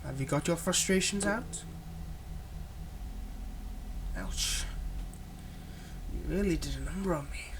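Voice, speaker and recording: A man playing a manipulative, soothing male villain in an ASMR roleplay, speaking in a soft, coaxing and dramatic way with deliberate pauses. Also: a little high, heavy background noise, close to an everyday voice. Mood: teasing, subdued. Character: manipulative, soothing male supervillain, tenderly possessive of his captive.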